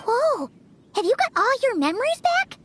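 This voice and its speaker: Squeaky, very high, excitable fairy companion. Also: female voice, normal speed, slight background noise. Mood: amazed. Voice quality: squeaky, childlike. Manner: exclamatory, refers to self in third person. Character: small floating fairy-like companion, childlike, excitable, chatty. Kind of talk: anime game voice acting.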